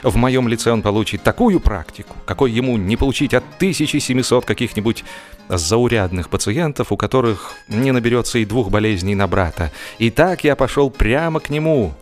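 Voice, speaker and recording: A man narrating a humorous first-person story in a theatrical, expressive audio-drama style. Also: mid-range voice, brisk. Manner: expressive, acting out comic hesitations and dialogue. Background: music.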